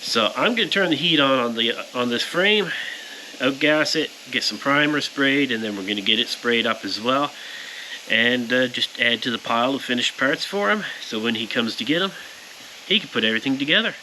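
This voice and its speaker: A man's voice: medium pitch, lively intonation, moderate pace, bright, forceful tone, rough recording. A plain-spoken man giving a casual, explanatory hands-on workshop narration to viewers. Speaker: powder coater presenting his shop's work. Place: powder coating workshop. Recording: clear background shop noise.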